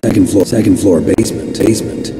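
Actor as gruff, very low-voiced soldier hero. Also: male voice, fairly even intonation, quick pace, natural voice. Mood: terse. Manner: terse, questioning, serious. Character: tough, terse male covert soldier hero. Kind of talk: dramatic video game cutscene dialogue. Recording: echoey reverberant room under loud music. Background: loud game music.

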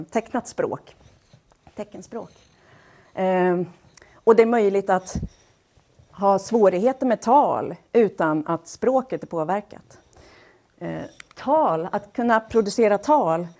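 Lower-pitched woman giving a measured lecture. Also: mellow. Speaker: lecturer, speech-language pathologist. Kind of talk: public lecture, explanatory. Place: wood-panelled lecture hall, indoors. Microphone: headset.

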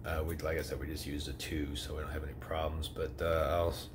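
Low-voiced man, easygoing home review. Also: flat delivery, fast talker, background noise. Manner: easygoing, rambling with many "uh"s. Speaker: product reviewer. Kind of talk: casual product review. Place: indoors at home.

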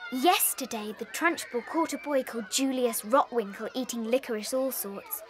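A woman's voice: fairly high pitch, normal speed, lightly acted. An actress playing a tough older British schoolgirl in a dramatized children's audiobook, scaring newcomers with knowing, ghoulish relish.

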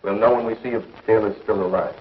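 An actor playing a grave, sober doctor and adventurer in a 1950s TV sci-fi serial. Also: male voice, on the low side, fairly even intonation, quick pace, a little hiss or hum, close to an everyday voice. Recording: dull 1950s TV soundtrack, loud music.

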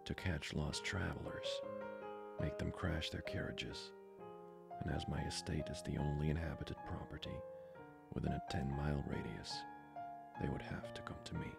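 A man playing a courtly, flirty vampire lord hungry for blood in an intimate ASMR roleplay, breathy and formally polite. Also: a bass voice, exaggerated voice. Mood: brooding, longing. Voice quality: breathy, whispery, gravelly. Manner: formal, slow, seductively polite.

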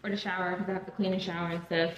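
A woman's voice: on the low side, level tone, rapid-fire, slight background noise. A young woman in her twenties chatting casually and playfully in her apartment kitchen as she films a vlog on a handheld phone. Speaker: vlogger.

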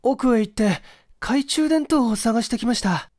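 A male narrator puts on a courteous gentleman from a mystery audiobook. He sounds breathless and apologetic, his voice pitched high, in a dry close recording. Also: lively intonation, normal speed, no echo. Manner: polite, apologetic. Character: polite, breathless gentleman in a detective story.

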